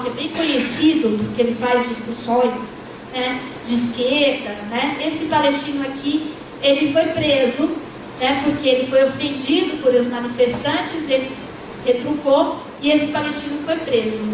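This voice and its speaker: A Brazilian woman lecturer giving an academic panel talk with slides, explaining steadily and tagging her sentences with frequent "né". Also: sits high. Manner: frequent "né" tags, measured explanatory delivery. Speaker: university lecturer. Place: lecture hall. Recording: echoey hall from far off with noise.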